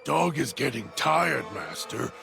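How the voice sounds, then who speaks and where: Brief: actor as a menacing dog-creature, male, speaking slow and clipped in the third person, over game music. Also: medium pitch, animated, full character voice. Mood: brooding. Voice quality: gravelly. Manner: clipped broken speech about himself in third person. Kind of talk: game character monologue. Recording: music playing underneath.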